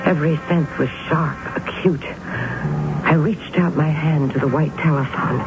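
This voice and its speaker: A woman's voice: deep voice, steady tone, creaky, highs cut off, loud music behind the voice. Obsessive young woman, thin old radio sound. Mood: haunted, tense. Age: young adult. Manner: deliberate, dramatic, halting phrasing. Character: intense, obsessive young woman convinced of demons. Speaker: radio drama actress. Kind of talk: 1970s radio drama acting, narration and dialogue. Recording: thin band-limited vintage radio broadcast.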